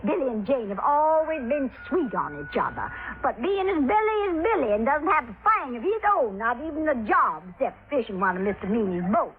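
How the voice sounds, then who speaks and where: Neighborly Southern gossip, high animated voice, folksy, vintage radio drama. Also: female voice, fairly quick, soft background music. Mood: gossipy. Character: gossipy, hospitable small-town Southern woman. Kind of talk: 1950s radio drama acting. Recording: tinny old radio broadcast.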